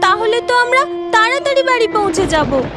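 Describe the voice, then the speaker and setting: A woman's voice: very high voice, big pitch swings, brisk, noisy background. A woman voicing a timid but stubborn village wife in a cartoon, pleading and exclaiming. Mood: coaxing. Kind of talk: cartoon voice acting.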